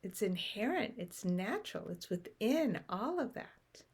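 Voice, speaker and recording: A woman leading a guided meditation in a slow, gentle way, with long pauses between short phrases. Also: medium pitch, sing-song, breathy edge.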